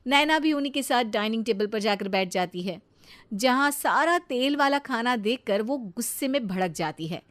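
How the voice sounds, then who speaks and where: A woman narrating a scripted animated family story as its storyteller. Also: a little high, moderate pace.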